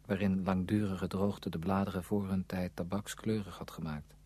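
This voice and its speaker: Bedachtzame schrijver met lage, hese stem. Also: male voice, low voice, a little mellow, husky. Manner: measured, literary phrasing. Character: reflective male writer visiting a village, wistful, wry. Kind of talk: literary radio drama narration and dialogue.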